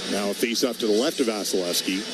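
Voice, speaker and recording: A man's voice: on the low side, fast, very noisy. A man doing live radio hockey play-by-play in clipped phrases that follow the action.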